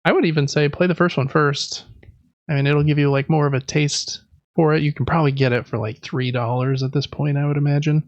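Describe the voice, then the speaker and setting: A man talks casually as a podcast co-host, rambling and enthusiastic. His voice sits a little high with plenty of vocal fry. The sound is dry and close, slightly dull. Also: no echo. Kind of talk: casual gaming podcast chat.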